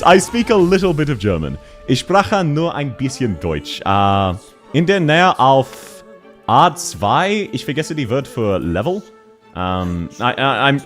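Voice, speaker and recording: A man with a thick Australian accent, chatting casually and quickly as a game streamer, laughing often while reading chat aloud. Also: medium pitch. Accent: Australian, unusual variety. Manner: fast-talking, laughs often, animated.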